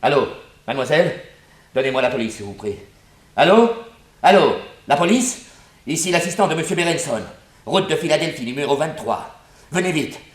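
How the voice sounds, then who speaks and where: An actor playing an earnest, agitated laboratory assistant in a 1960s French radio drama, polite and anxious. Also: male voice, on the high side, animated, normal speed, pauses often, lightly acted. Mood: alarmed.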